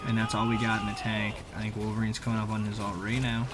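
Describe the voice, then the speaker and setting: A man giving casual, slangy voice-over commentary on gameplay, conversational with animated reactions. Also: fairly low pitch, quick pace, over music. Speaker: gaming video creator.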